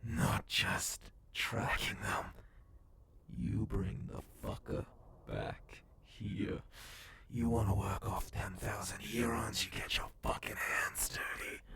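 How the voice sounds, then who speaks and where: Man acting a gravelly, whispering cyborg gang boss. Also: very noisy, exaggerated voice. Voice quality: breathy whisper mixed with gravelly low voice. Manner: slow, deliberate, taunting, swearing. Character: menacing sadistic male cyborg gang boss and bounty hunter. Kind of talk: dark ASMR roleplay acting.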